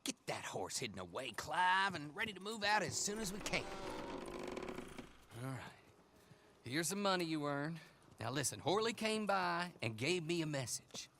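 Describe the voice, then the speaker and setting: An actor playing a brisk, folksy, wily horse dealer in a western game, talking in an expansive salesman's patter. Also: a little high, big pitch swings. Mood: businesslike. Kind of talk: western video game cutscene acting. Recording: clear background noise.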